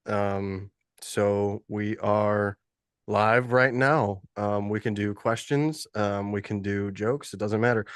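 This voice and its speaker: A man's voice: fairly low pitch, smooth, dry recording. Male podcast host with a cold, sniffly, chatty and laughing often, dry close call audio. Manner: loose, laughing, rambling. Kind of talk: casual live podcast chat.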